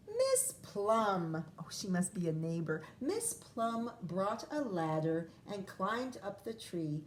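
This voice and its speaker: A woman teacher reading a picture book aloud to young children, pausing to ask questions and explain words. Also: mid-range voice, big pitch swings, slight background noise. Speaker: first grade teacher. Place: classroom or home, recorded for video.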